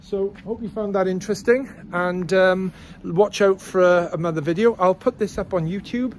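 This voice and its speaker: A man in his fifties giving a casual, plain-spoken, halting update as a property vlogger, recorded outdoors on a handheld phone. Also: high-pitched, a little hiss or hum. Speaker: property developer presenting a video channel. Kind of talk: casual site-update vlog. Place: outdoors on a barn building site. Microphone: handheld phone at arm's length.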